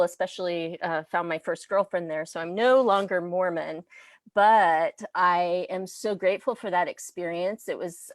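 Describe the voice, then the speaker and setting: American woman, lowish voice, dry recording, casual interview guest, language instructor. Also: no room sound. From US Midwest. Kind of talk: casual interview chat about personal background.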